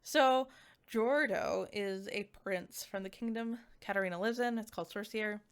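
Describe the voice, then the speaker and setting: A woman giving a casual, conversational review to viewers, animated and peppered with "like" asides. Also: mid-range voice, moderate pace, clear, resonant tone. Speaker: visual novel reviewer.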